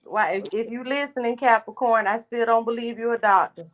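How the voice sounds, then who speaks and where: A woman's voice: fairly high pitch, steady tone, fairly quick. An American woman chatting casually and bluntly in a group, swearing freely and sometimes stuttering. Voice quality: occasional stutter. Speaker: participant in a group live stream. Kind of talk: casual chat.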